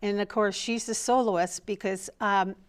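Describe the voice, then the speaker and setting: A woman hosts an arts show, welcoming her guest with a warm, effusive manner. Her voice is smooth and clear, set at a middle pitch. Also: fairly even intonation, a little slow. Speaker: arts reporter and host. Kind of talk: hosting an interview.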